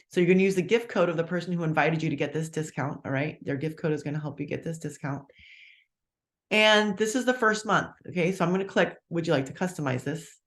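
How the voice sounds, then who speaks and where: A person in their fifties giving a casual, chatty live sales pitch for a meal plan on a webinar, walking through the prices step by step and checking in with "okay?", upbeat about their own results. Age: 50s.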